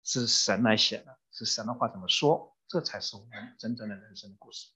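A man's voice: lowish voice, fairly quick, pushed and bright, breathy edge. A man interpreting a sermon phrase by phrase, keeping pace with the preacher and using some fillers. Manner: renders each phrase promptly, with some fillers. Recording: dull muffled recording.

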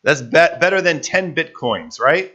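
A man preaching a sermon, playful and animated, his voice a little rough from allergies. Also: unhurried. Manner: animated, playful, jumps to high excited pitch. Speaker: pastor. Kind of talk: sermon with live interpretation.

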